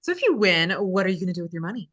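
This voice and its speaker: A sardonic, chipper female reality show host, performed by a woman at a script read. Her voice sits slightly low and swings widely in pitch. She presents to camera with polish and drops in sarcastic asides. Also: sing-song, very fast, fairly bright tone, dull audio. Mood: curious, brisk. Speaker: actress in a script table read.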